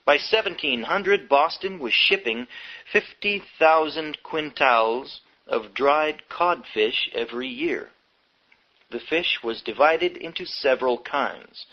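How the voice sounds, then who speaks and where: A man reading a history book aloud as scripted narration, in measured, short phrases, on a dull lo-fi recording. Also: on the high side, lively intonation. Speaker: audiobook reader. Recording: dull lo-fi audio.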